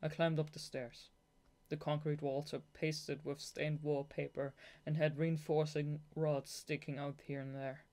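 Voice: medium pitch, little pitch movement, a little slow, darkish tone. A young adult native German speaker reading a novel aloud as amateur audiobook narration, sometimes breaking into gushing fan asides. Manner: giggly, gushing fangirl asides between read passages. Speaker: audiobook reader.

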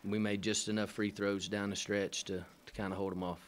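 A middle-aged man, a head coach, answering press questions after a game at a table mic, halting with many fillers and dry, matter-of-fact humor. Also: on the low side, flat delivery, slight background noise. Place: press room in front of a sponsor backdrop. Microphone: table microphone.

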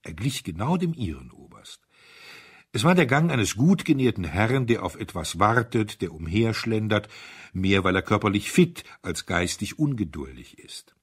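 A man voicing a gentle, thoughtful priest detective, explaining a crime in a deliberate, rhetorical storybook monologue. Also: lowish voice, sing-song, husky. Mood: intrigued, musing.

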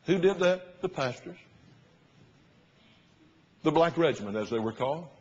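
Husky preacher in a reverberant church. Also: male voice, animated, a little slow. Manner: emphatic, oratorical, rising to loud bursts and dropping low. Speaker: pastor preaching. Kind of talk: fiery sermon. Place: large church sanctuary, at the pulpit platform. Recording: muffled recording in a reverberant church hall.

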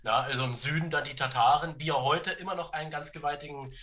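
Bright-voiced man explaining haltingly. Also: on the high side. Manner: halting, searching for words, often checks with "ja". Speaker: podcast guest. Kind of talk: conversational explaining of history and politics.

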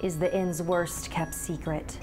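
A woman voicing an outwardly kindly but sly male baker in a storybook tale, talking smoothly and persuasively. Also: on the low side, level tone, a little slow, over music, lightly acted. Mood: sly, dismissive. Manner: smooth, dismissive, ominous.

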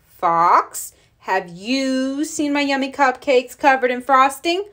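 A woman's voice: high voice, slow, fairly bright tone, pitched above the performer's own voice. A woman voicing a grumpy, curt male bear who refuses to share, in a children's storybook read-aloud. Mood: urgent, questioning.

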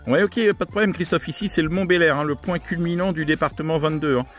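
Fast-talking man making radio contacts. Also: a little high, a bit bright. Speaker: amateur radio operator running contacts from a hilltop. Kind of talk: casual radio contact exchange with operator jargon. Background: music.

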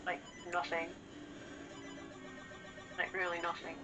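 A woman's voice: lowish voice, completely flat delivery, slow. A woman chatting casually and bluntly as co-host of a gaming session, swearing now and then. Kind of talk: casual chat during a video game session. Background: video game music.

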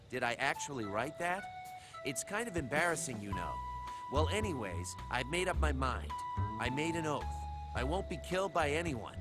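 A man's voice: a little high, close to an everyday voice. A man voicing a cocky, bemused punk assassin hero in a video game, with a wry, casual delivery. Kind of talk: video game cutscene acting. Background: music.

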